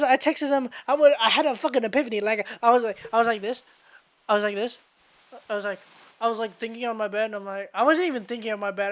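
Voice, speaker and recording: A young adult woman hosting a podcast in a casual, slangy, sweary freestyle ramble, scattered and excitable, close on the microphone. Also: fairly high pitch, no room sound. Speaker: podcast host. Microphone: close microphone through a mixer.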